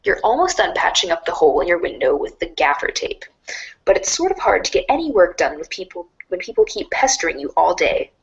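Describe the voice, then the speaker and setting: A woman's voice: fairly low pitch, big pitch swings, fairly quick, vocal fry, muffled recording. A woman narrating a scripted read-along storybook in a wry, theatrical manner.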